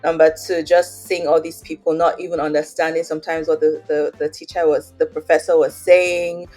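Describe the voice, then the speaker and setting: Nigerian woman, young, low voice, chatty with lots of fillers, faint music behind. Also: level tone, moderate pace, mellow. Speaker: guest. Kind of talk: casual interview, personal story.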